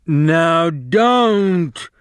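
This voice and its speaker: A big, slow-witted man with a childlike mind, as a man reading the story puts him on. He talks in a simple, pleading drawl, pitched above the reader's own voice. The recording is dry and close, like a storybook reading. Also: expressive, clean tone, no echo. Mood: angry.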